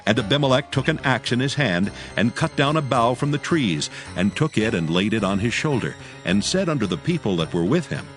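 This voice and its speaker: A man narrating scripture from a script, in a solemn, measured storytelling manner. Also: medium pitch, sing-song, fairly bright tone, soft background music.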